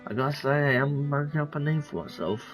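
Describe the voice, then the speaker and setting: A man's voice: fairly high pitch, fast, faint music behind. A man casually commenting while he plays a game, rambling with plenty of fillers. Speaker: streamer playing a game.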